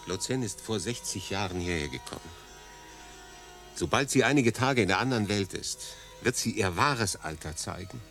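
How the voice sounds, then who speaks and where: A composed English consul in a radio play, with a low voice. He speaks gravely and deliberately, reflective and quietly resolute. Faint music sits in the recording. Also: lively intonation. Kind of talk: radio drama acting.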